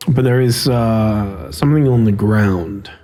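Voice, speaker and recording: A man running a tabletop roleplaying game as game master, explaining and narrating in hesitant, halting phrases. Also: on the low side, warm tone.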